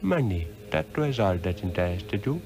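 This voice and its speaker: An actor playing an ancient, stern Tibetan sorcerer in a solemn, measured, oracular way in a 1960s radio drama. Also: male voice, low-pitched, sing-song. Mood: solemn, accusing. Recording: old radio recording with music.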